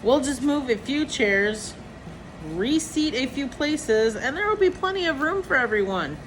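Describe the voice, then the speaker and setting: A woman's voice: sits high, expressive, measured pace, slight background noise, voice pushed up, low-quality recording. A woman voicing a cheerful, easygoing husband in a storybook, in an upbeat, reassuring manner. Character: cheerful, easygoing husband hosting a family dinner.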